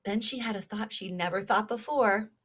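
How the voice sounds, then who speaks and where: A woman's voice: mid-range voice, moderate pace, fairly bright tone, husky. A woman reading a children's story aloud expressively, stopping to prompt her young listeners with questions. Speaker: storybook reader.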